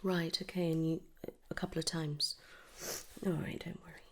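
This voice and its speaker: A British woman whispering softly and gently in an ASMR medical roleplay, reassuring and unhurried, close to the mic. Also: low voice, flat delivery, slight background noise. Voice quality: breathy whisper and hushed soft voice. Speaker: ASMR performer playing a dental receptionist or dentist.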